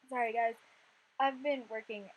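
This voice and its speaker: A young woman in her twenties, slightly hoarse from losing her voice, chatting casually over gameplay in a rambling, filler-heavy way. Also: high voice, a little hiss or hum, poor audio. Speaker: Sims content creator.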